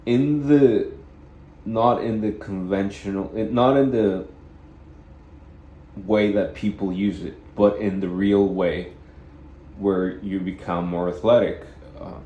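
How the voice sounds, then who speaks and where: Deep-voiced guy musing about spirituality, low and slow, echoey room. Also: steady tone, dark tone, a little hiss or hum. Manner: halting, restarts often, repeats phrases. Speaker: vlogger. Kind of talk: rambling spiritual self-help monologue.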